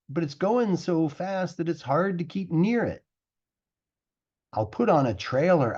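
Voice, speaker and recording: A man reading a rhyming picture book aloud as a teacher would, with animated, theatrical delivery and drawn-out words. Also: a little high, breathy edge, muffled recording. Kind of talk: expressive rhyming storybook narration.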